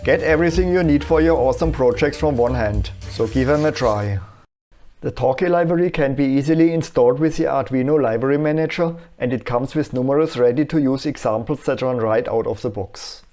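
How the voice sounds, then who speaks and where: A man presenting an electronics tutorial in scripted, explanatory narration with a measured, instructional manner. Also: medium pitch, warm tone, soft background music.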